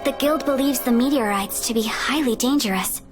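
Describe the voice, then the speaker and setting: Woman playing a composed, high-voiced guild receptionist. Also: over music, lightly acted. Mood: earnest, formal. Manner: formal, measured, instructive. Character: composed, professional female adventurers' guild receptionist. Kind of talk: video game voice acting.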